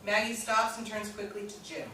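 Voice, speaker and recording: A woman's voice: mid-range voice, little pitch movement, normal speed, pushed and bright. A woman reading stage directions as scripted narration at a staged play reading. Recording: very echoey room, distant mic, faint hiss.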